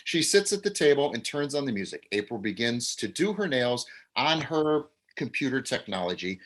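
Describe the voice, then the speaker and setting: A man's voice: medium pitch, very animated, normal speed, fairly bright tone. A man reading stage directions aloud over a video call, with frequent uhs. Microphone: video call mic.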